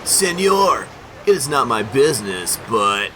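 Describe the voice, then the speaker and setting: A man's voice: medium pitch, sing-song, measured pace, sharp tone, slight background noise, low-quality recording. Man reading as a foreboding local fisherman. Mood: cautious. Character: ominous local fisherman warning of evil waters. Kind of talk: comic book dramatic reading.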